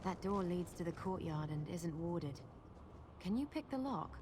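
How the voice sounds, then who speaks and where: An actress playing a confident, practical adventuring guide in a fantasy video game, explaining things in a matter-of-fact way. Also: female voice, lowish voice, lively intonation, measured pace, background noise. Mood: frustrated, determined. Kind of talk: scripted video game dialogue.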